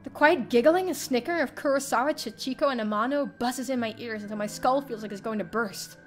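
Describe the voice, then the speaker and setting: A woman's voice: a little high, a little fast. A young adult woman streamer reading a visual novel aloud in dramatic first-person narration. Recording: faint music behind.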